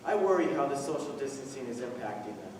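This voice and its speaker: A man playing a caring, worried teacher in an earnest, deliberate stage monologue. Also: mid-range voice, lively intonation, a loud crowd, lightly acted. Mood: wistful, concerned. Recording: very echoey hall, distant mic, faint noise.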